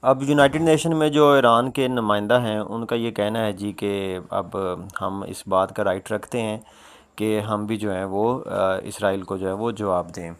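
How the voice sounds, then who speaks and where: A man presenting informal, explanatory news commentary, often pausing mid-sentence and leaning on repeated filler phrases. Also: fairly low pitch, steady tone. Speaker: presenter of a world news roundup.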